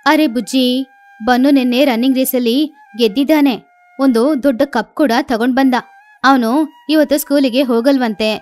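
A woman's voice: sits high, moderate pace, darkish tone, soft background music, natural voice. A woman voicing a cartoon mother sparrow who is bossy and talks in a nagging, scolding way.

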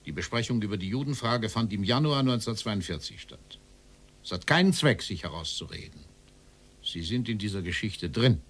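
An actor playing a stern interrogator in a documentary radio drama, formal and probing in manner. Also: male voice, lowish voice, animated, brisk, fairly bright tone. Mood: insistent, accusing. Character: stern male interrogator questioning a Nazi-era official.

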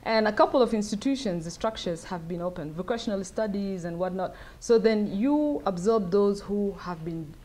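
A middle-aged woman from South Sudan answering audience questions at a lectern, speaking thoughtfully with many pauses and fillers. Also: mid-range voice, animated, dark tone. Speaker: guest speaker. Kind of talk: lecture Q&A answers. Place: wood-paneled event room, at a lectern. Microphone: lectern mic.

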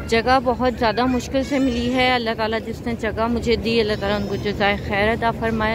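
A woman with a Pakistani Urdu accent gives a soft, devout, explanatory tour as she walks through a large crowded mosque, talking into a handheld phone camera. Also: fairly high pitch. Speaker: vlogger. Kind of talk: walking tour vlog narration. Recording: noisy crowd ambience.

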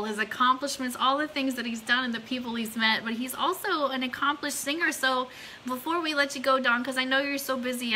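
A woman hosts a casual live radio show in a bright, fairly high voice. She is effusive and gushing with her guest, with faint noise in the recording. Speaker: radio show host and network founder.

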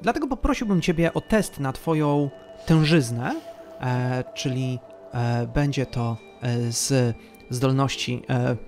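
A man narrating a tabletop role-playing game as game master, telling the scene slowly and atmospherically. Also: medium pitch, darkish tone, soft background music.

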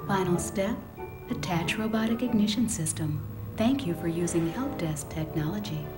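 Game help desk robot, female, played by an actress: impersonal, instructional, measured, with loud music underneath. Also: on the low side, lively intonation, close to an everyday voice. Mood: impersonal, instructive. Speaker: in-game tutorial announcer. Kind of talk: scripted video game instructions.

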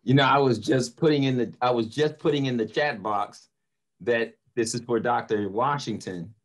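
A man's voice: mid-range voice, normal speed. A middle-aged man, a motivational speaker, telling stories conversationally over a video call from a room at home. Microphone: computer microphone on a video call.

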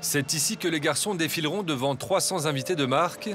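A man reading scripted documentary voice-over narration in a measured, even way. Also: fairly high pitch.

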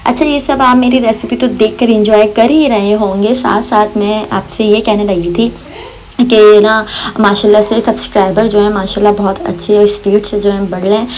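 A woman's voice: medium pitch, fairly even intonation, normal speed, darkish tone, slight background noise, poor audio. Chatty young woman. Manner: chatty, rambling, many fillers and religious phrases. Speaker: cooking vlogger. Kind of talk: casual voice-over chat. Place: home kitchen. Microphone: voice-over recorded separately.

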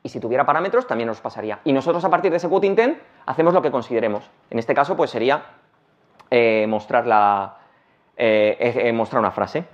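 A man from Madrid with a Madrid accent giving a casual technical talk on stage, talking rapidly with many restarts and fillers. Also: mid-range voice. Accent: Madrid Spanish. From Madrid, Spain. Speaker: conference speaker. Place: large conference hall, on stage. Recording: dull lo-fi conference recording.

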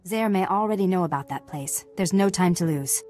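An actress playing a stern, earnest Jedi warrior in a video game, speaking in a grave, formal manner. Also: female voice, lowish voice, a little fast, warm tone, faint music behind, lightly acted. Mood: grave, resolute.

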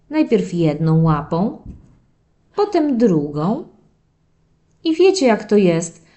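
Woman as an animated puppy storyteller, unhurried. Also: fairly high pitch. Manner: expressive, drawn-out dramatic telling addressed to children. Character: playful young male terrier puppy narrating his diary. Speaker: narrator of a children's audio story series. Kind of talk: animated first-person children's storytelling.

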